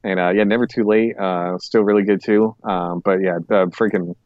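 A man's voice: on the low side, fairly even intonation, normal speed, no room sound, dull audio. A man co-hosting a podcast in a casual review chat, rambling, hesitant and often correcting himself. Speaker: podcast co-host.

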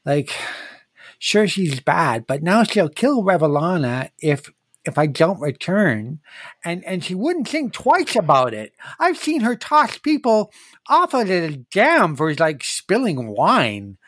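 Remorseful male war-beast performed by a game master: lisping, halting, earnest, slow, for a tabletop game. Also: medium pitch, very animated, a bit bright, dry recording, pitched above the performer's own voice, full character voice. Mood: anxious, guilty. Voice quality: lateral lisp.

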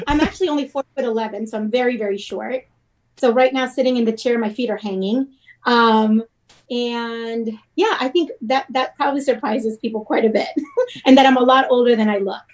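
A woman's voice: a little high, a little fast, poor audio. A woman from Puerto Rico talking casually as an interview guest, explaining her work. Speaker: interview guest, wedding photographer and blogger.

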